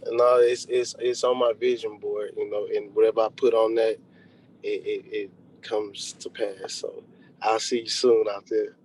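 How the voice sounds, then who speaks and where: A man's voice: lowish voice, flat delivery, a little slow, darkish tone, slight background noise, poor audio. A young man being interviewed as a rapper, chatting casually in slang over a video call. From Texas, United States. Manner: slangy, conversational. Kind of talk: casual chat.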